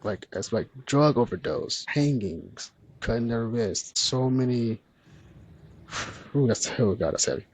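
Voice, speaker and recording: A man speaking gently and earnestly as a podcast guest, with a soft, soothing voice. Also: medium pitch, animated, measured pace, slight background noise, rough recording. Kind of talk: candid conversational interview.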